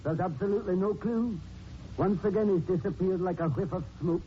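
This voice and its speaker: A man plays a gruff, tired beat constable in a 1940s radio mystery. His voice is fairly high, on a muffled recording with faint noise. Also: a little fast, natural voice. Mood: weary. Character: gruff, weary London police constable. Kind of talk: 1940s radio drama acting.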